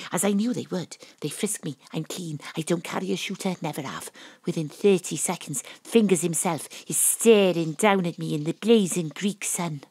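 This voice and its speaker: A woman's voice: on the low side, breathy edge, dry recording. A woman playing a determined, wry Welsh private detective, acting and narrating in a noir audio drama.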